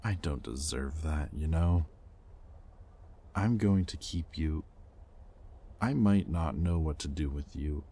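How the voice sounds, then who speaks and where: A man playing a possessive, conflicted demon in an intimate audio roleplay, with halting, sardonic delivery and secret tenderness. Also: extremely low pitch, warm tone, a little hiss or hum, exaggerated voice. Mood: pleading, regretful. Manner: intimate, halting, sardonic, with sighs and muttered curses. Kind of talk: dramatic audio roleplay addressed to the listener.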